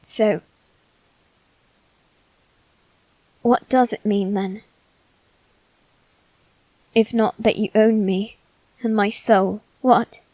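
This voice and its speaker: Woman voicing a slow, halting, possessive young boy aristocrat. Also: mid-range voice, steady tone, warm tone, no echo, lightly acted, low-quality recording. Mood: tentative, pensive. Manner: slow, halting, measured delivery with long pauses. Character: wounded, possessive teenage boy aristocrat bound by demon contract. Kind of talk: ASMR character roleplay, scripted drama.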